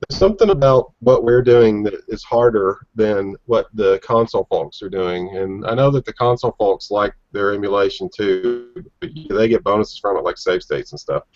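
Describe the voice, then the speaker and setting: A man's voice: fairly low pitch, normal speed, few pauses, darkish tone, no echo. A man chatting casually and informally as he leads a panel discussion on a video call, in folksy phrasing. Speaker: collector panelist leading discussion. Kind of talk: casual chat. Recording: dull lo-fi video-call audio.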